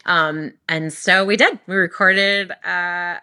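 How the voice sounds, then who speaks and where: A young adult woman, a worship singer, tells her story in an interview, talking quickly and animatedly with frequent restarts. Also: fairly low pitch, fairly even intonation, pushed and bright, clean tone. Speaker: worship singer and recording artist.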